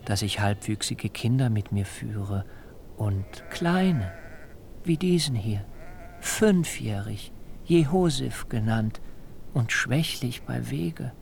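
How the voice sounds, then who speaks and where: A wily, disdainful biblical brother in a radio drama. He speaks slowly and pointedly, with a gravelly creak. Faint farm animal ambience sits behind him. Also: on the low side, slight background noise, heavily acted. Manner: measured, pointed, smoothly evasive. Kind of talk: German literary radio drama acting.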